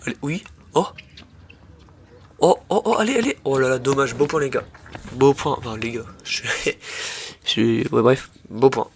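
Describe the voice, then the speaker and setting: Young dude with a head cold, chatty slangy match commentary, mid pitch, slight noise behind. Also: male voice, a little slow, pauses often. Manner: slangy, excitable, talks to viewers as "les mecs". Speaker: tennis vlogger commenting his own match. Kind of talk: casual match commentary voice-over.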